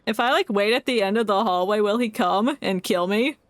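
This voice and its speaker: A woman's voice: mid-range voice, brisk, no room sound. A woman giving casual live game commentary, giggly and chatting away to herself and to the characters in the game. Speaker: game streamer. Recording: background music under voice.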